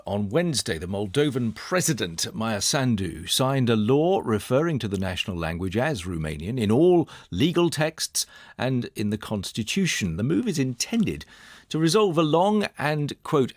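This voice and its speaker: A man's voice: mid-range voice, no echo, studio quality. A man presenting a radio programme, reading a scripted news introduction.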